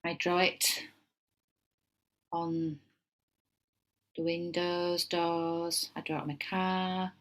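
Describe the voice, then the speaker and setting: A woman's voice: fairly low pitch, level tone, drawn-out words, pauses often, a bit bright. A middle-aged woman with a Yorkshire accent, giving a casual tarot reading at home and wandering into chatty asides. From England. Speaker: tarot reader.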